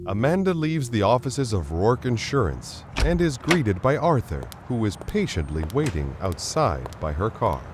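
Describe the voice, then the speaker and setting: A man's voice: medium pitch, very animated, normal speed, few pauses, lightly acted. A man acting as the narrator of a superhero radio play, theatrical and vivid in his storytelling. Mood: dramatic. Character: dramatic male narrator of superhero serial. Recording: loud music under the voice.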